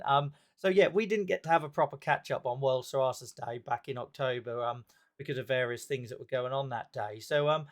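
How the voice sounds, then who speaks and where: Chatty male talk show host. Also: a little high. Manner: chatty, friendly, self-interrupting. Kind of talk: casual interview hosting.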